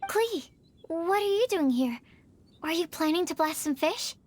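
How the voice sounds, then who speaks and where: A woman voicing a gentle, curious and polite young woman in a video game, with a considerate, slightly tentative manner. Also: extremely high pitch, lively intonation, a little slow, slight background noise.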